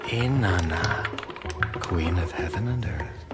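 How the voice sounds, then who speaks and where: An actor playing a suave, roguish gambler and cheat in a radio drama, with an insinuating, knowing delivery. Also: male voice, low-pitched, animated, slow. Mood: smooth, seductive. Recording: music playing behind the voice.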